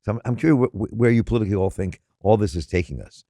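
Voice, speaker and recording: A man's voice: on the low side, lively intonation, rapid-fire, warm tone, no echo, studio quality. An older man hosting a radio interview, rambling through his questions with many restarts. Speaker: interview host.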